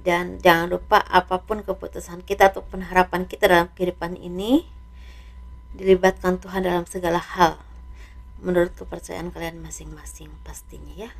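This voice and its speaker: A slightly hoarse woman doing a casual tarot reading, speaking softly and letting her phrases trail off. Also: fairly low pitch, level tone, moderate pace. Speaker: tarot reader.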